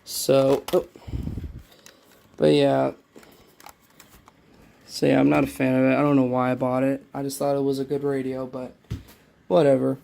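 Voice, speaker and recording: A man giving a casual, unscripted show-and-tell as a vlogger, talking in a halting, rambling way and often repeating himself into a handheld phone. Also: medium pitch, monotone, creaky, mellow. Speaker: vlogger showing a personal collection.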